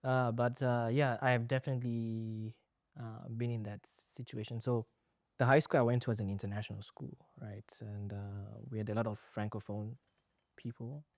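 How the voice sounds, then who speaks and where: A man's voice: medium pitch, flat delivery, normal speed, a little mellow, no room sound, clean studio sound. A man from Zimbabwe, a guest actor on a podcast, telling stories in a rambling, hesitant, amused way. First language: a Zimbabwean language. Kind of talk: casual podcast chat.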